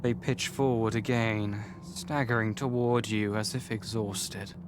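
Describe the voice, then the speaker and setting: A man reading scripted literary narration for a visual novel in a measured, formal storytelling manner. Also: lowish voice, fairly even intonation, music in the background.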